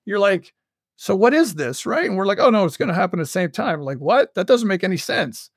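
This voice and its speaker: A man talking casually as the creative guest in an interview, telling stories in an animated, self-interrupting way and acting out bits of dialogue. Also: sits high, fairly quick, no room sound, clean studio sound. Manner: animated, self-interrupting storyteller who acts out quoted dialogue. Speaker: guest, audio drama creator and writer.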